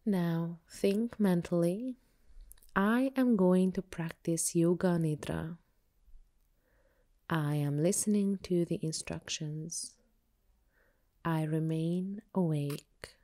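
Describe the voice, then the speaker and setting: A woman yoga teacher leading a guided meditation with soothing, measured, unhurried instructions. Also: fairly low pitch, dark tone.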